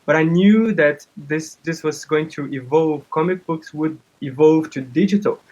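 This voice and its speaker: Brazilian man, thirties, native Portuguese speaker, fairly high voice. Halting, casual interview guest on a dull recording. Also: darkish tone. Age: 30s. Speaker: interview guest, comic artist and game developer.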